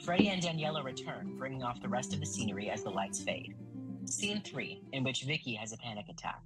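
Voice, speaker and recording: A woman's voice: rumbling low, lively intonation, brisk, music in the background, poor audio. A woman reading scripted stage directions as the narrator of an audio drama.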